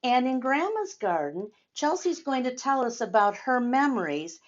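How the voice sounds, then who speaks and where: A woman's voice: high voice, sing-song, normal speed, lo-fi sound, slight background noise. An older woman doing a read-aloud story time for children, speaking directly to young listeners and explaining as she goes. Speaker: storyteller reading a picture book to children.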